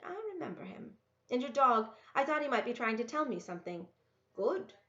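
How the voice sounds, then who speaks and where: A woman voicing a gruff, brisk old mountain woman with a hard manner in a storybook. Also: a little high, expressive, sharp tone, pitched above the performer's own voice. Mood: suspicious. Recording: roomy, echoey, dull lo-fi sound.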